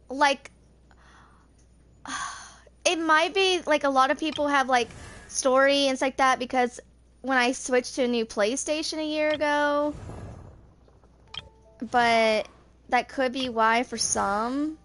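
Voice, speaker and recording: A woman's voice: high-pitched, unhurried, long pauses, a bit bright. A woman in her twenties chatting casually to viewers as she streams a game, rambling and thinking aloud. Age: 20s. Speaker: streamer playing a video game.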